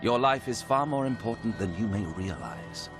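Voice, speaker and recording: A man's voice: mid-range voice, animated, normal speed, close to an everyday voice. An actor playing a calculating, ominously polite male scientist in a game cutscene, speaking formally and theatrically. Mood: calculating, courteous. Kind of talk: scripted game cutscene dialogue. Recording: music behind the voice.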